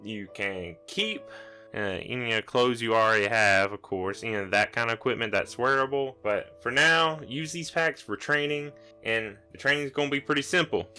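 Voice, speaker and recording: Man playing a bright-voiced, offhand monster trainer. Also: mid-range voice, moderate pace, smooth, faint music behind. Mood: casual, instructive. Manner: offhand, rambling, hesitant explanations. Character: casual, rambling Scrafty monster acting as training facilitator.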